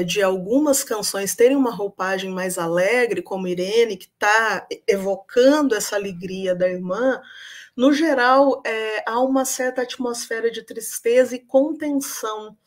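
A woman's voice: mid-range voice, a little slow. A young adult woman giving an academic lecture over a video call from a room at home, thoughtful and full of hesitation fillers. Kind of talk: academic talk on song and literature. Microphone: webcam on video call.

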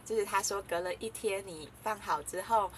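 A woman's voice: medium pitch, normal speed, slight background noise. A young woman casually chatting to the camera while reviewing coffee, talking in a hesitant way with many fillers and giggles. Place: small room indoors.

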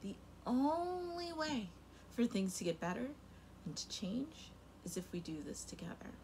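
A young woman teacher reading a picture book aloud to kindergarten children, very expressive, her loudness rising and falling sharply. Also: fairly high pitch, measured pace, a little hiss or hum. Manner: expressive and animated, with big swings in loudness. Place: home room in front of a rainbow feather backdrop.

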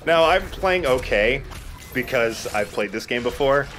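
A man's voice: mid-range voice, animated, a little slow, fairly bright tone, slight background noise. A man hosting a let's play with casual, conversational, explanatory commentary.

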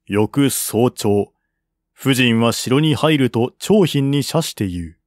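A man reads a historical novel aloud as scripted narration, in a measured, formal, literary manner. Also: mid-range voice, lively intonation, dry recording, studio quality.

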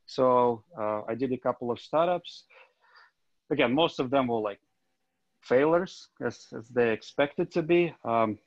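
A man's voice: medium pitch, measured pace, no echo. A man from Ukraine introducing himself casually on a video call, loose and full of fillers. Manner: loose, filler-heavy, self-deprecating. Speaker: tech coordinator. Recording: dull dry call audio.

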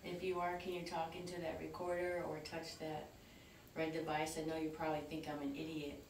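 A woman's voice: sits low, monotone, brisk, a little hiss or hum. A middle-aged woman chatting nervously as she vlogs a ghost hunt, swearing casually, filmed in a large old cellar. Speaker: paranormal vlogger. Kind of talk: casual ghost-hunting vlog. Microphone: camera on a tripod, then handheld. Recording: echoey basement from far off.

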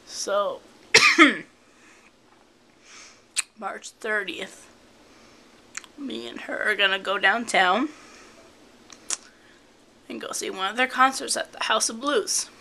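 Young woman vlogger, a bit high, unhurried, sounds like she has a cold, close handheld camera, faint hiss. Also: gravelly. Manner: soft, slow and chatty, cooing at her cats. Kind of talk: casual home video chat. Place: bedroom at home.

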